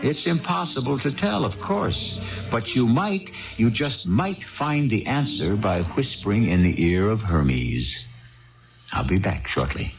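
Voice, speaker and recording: A man hosting a 1970s radio mystery show, delivering deliberate, philosophical scripted narration straight to the listener. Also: lowish voice, animated, creaky. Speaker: radio drama host. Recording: muffled 1970s radio broadcast. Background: music.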